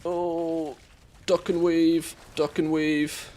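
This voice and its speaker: A man's voice: a little high, flat delivery, drawn-out words, mellow. Chatty English man streaming a game. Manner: chatty, thanks and greets viewers, reacts aloud to the game. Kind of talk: casual live-stream commentary while gaming.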